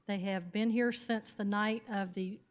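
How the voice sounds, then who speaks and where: A woman's voice: medium pitch, fairly even intonation, a little slow. A woman representing a nonprofit, introducing a volunteer team at a public meeting in a thankful way. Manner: warm, thankful.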